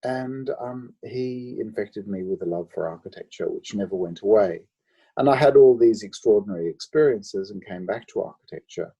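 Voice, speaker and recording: A man from Australia answering interview questions about his life and work, thoughtful and full of pauses and ums. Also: fairly low pitch, dark tone, slightly rough, highs cut off. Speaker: guest architect.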